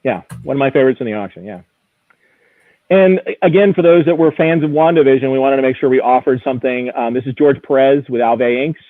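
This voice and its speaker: A man's voice: medium pitch, quick pace, no room sound. Man on a dry, phone-like line. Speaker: auction house executive as guest expert. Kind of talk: live auction preview commentary, conversational. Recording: narrow, phone-like radio-style sound.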